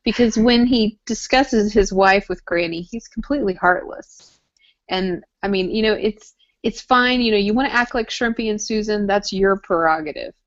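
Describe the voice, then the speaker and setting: A woman's voice: medium pitch, moderate pace. Lady podcast cohost chatting casually, kinda exclaimy and teasing, lofi dull sound. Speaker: podcast co-host. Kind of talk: casual chat discussing a TV drama. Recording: dull lo-fi audio.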